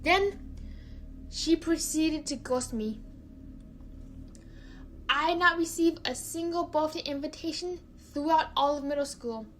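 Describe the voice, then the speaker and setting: A young woman from China narrating a personal story, careful and halting, often repeating words. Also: sits high, a little hiss or hum, low-quality recording.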